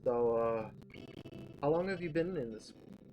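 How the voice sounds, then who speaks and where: A man reading the part of a polite, reserved male high school student in a visual novel. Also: expressive, measured pace, a little mellow, lightly acted. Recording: clearly noisy background.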